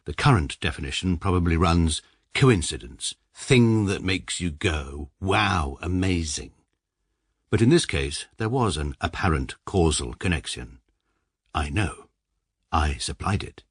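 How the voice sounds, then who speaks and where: An actor with a British accent playing a stiff, pedantic upper-class lawyer, narrating and speaking formally and precisely. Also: male voice, low-pitched, expressive, unhurried, breathy edge, no echo, clean studio sound, full character voice. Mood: pompous narration. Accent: British English. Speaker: narrator and lead. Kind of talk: radio comedy drama.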